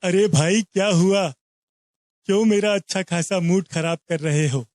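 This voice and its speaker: A man's voice: sits high, unhurried, darkish tone, no room sound, studio quality. A man voicing a greedy, heartless, bullying village moneylender in a cartoon moral story, bossy and scornful.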